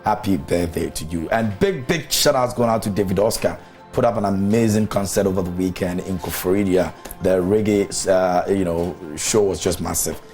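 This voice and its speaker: A man's voice: low voice, normal speed, darkish tone, faint music behind. A man hosting a morning TV show, chatty and teasing, chatting casually with a guest in a studio. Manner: chatty, teasing, laughs often.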